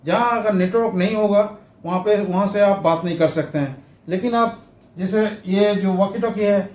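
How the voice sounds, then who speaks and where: A man's voice: high voice, normal speed, darkish tone. A man presenting an informal how-to lesson, explaining step by step and often repeating his phrases. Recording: echoey room sound.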